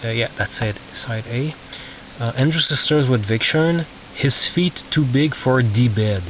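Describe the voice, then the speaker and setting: Man, casual show-and-tell, halting, muttered asides, dull lo-fi sound, clearly noisy. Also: mid-range voice. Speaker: record collector presenting his collection. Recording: lo-fi, noisy, distant mic with clicks.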